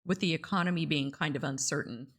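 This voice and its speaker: A woman's voice: sits low, fast talker, husky. A middle-aged woman hosting a podcast interview, telling stories in a chatty, wry way. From Texas, US. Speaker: podcast host. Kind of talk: casual interview chat.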